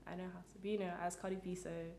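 A woman's voice: on the low side, little pitch movement, very fast, dark tone, echoey room. A young woman from England co-hosting a podcast, chatting casually and joking with lots of laughter. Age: young adult. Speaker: co-host. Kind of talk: casual chat.

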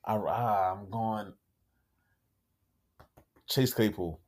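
A young man co-hosting a casual sports podcast, speaking calmly and softly, with some hesitation. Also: lowish voice, extremely slow. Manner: calm, soft-spoken, a bit hesitant. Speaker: podcast co-host. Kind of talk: casual fantasy football chat.

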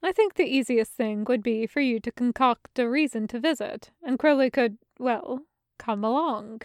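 High, bookish, polite angel played by a woman. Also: a little mellow, dry recording, studio quality, close to an everyday voice. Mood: businesslike, polite. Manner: measured, musing, precise. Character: polite, bookish male angel, reasonable and fussy. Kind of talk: audio fiction acting.

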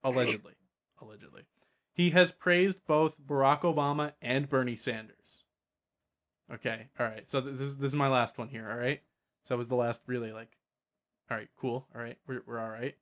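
A man's voice: mid-range voice, steady tone, fairly quick, fairly bright tone. A man chatting casually as a podcast host about tabletop gaming, often repeating words and trailing off.

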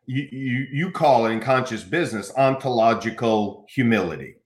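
A man's voice: mid-range voice, moderate pace, reverberant. A middle-aged American man hosting a podcast, talking earnestly in a casual interview with many restarts. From United States. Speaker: podcast host.

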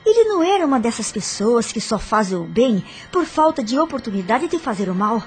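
A woman's voice: on the high side, big pitch swings, fairly quick, darkish tone. An actress playing a troubled widow in a radio soap, speaking earnestly and respectfully as she seeks understanding. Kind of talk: radio soap opera acting. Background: music.

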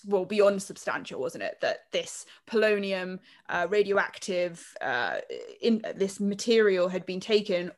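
A woman interviewer making a point in conversation, searching for words and closing on tag questions. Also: mid-range voice.

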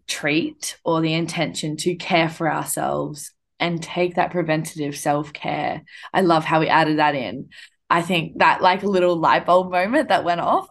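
A young woman hosting a casual podcast conversation, talking in a reflective way with lots of "like" and "um". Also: deep voice. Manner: reflective, uses many fillers like "like" and "um". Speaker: podcast host.

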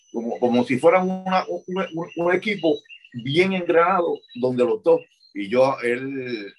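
A man's voice: medium pitch, slightly rough, rough recording. An older man, a former wrestling manager answering interview questions and repeating words as he recalls.

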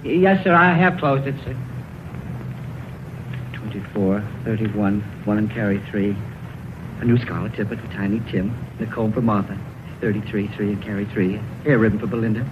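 An actor playing a timid, kindly poor clerk in a radio drama, apologetic, hesitant and polite. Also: male voice, on the low side, normal speed, close to an everyday voice. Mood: meek. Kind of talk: old-time radio drama acting. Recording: very noisy, tinny vintage radio recording.

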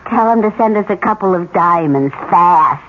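A woman's voice: fairly low pitch, animated, normal speed, close to an everyday voice. Female actor: exasperated, yearning housewife, emphatic delivery, vintage radio drama, tinny with faint noise. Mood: exasperated, wistful. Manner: fretful, emphatic. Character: exasperated, eager housewife yearning for a better life. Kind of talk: 1970s radio drama acting.

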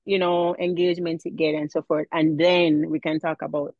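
A woman with a Jamaican accent, chatting as a guest in a casual interview, animated and laughing often. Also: low voice, normal speed, dry recording, highs cut off. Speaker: guest, mom influencer.

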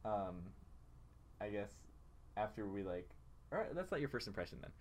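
A young man with a somewhat low voice co-hosts a casual podcast. He speaks in an informal, earnest way, often working to explain himself. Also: very animated, normal speed, a little mellow. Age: young adult. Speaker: podcast co-host. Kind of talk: casual chat.

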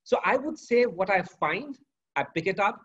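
A middle-aged man, a professor answering interview questions in a measured, storytelling way. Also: high-pitched, animated, fairly bright tone, breathy edge. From India. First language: Sanskrit. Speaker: guest, professor. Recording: dull lo-fi audio.

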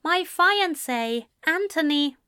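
A woman's voice: very high voice, expressive, slow pace, sharp tone, dry recording, studio quality, voice pushed up, exaggerated voice. A woman voicing a polite, reserved young man who speaks formally. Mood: earnest.

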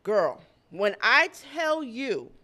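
A woman co-hosting a casual podcast, her voice sitting a little high. She tells stories in a blunt, animated way and swears freely. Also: very slow. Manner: blunt, profane and animated storytelling. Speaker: podcast co-host. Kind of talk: casual chat.

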